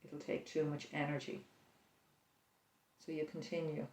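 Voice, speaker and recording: A prayer leader guiding listeners through an imaginative prayer, speaking slowly and softly, with reflective pauses. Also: medium pitch, completely flat delivery. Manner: slow, soft-spoken, reflective, with pauses. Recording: roomy echo, distant mic.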